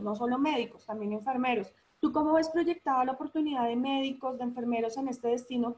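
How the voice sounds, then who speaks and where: A woman's voice: high voice, low-quality recording. A woman from Colombia interviewing a guest for a study-abroad agency, summing up his answers. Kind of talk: interview hosting.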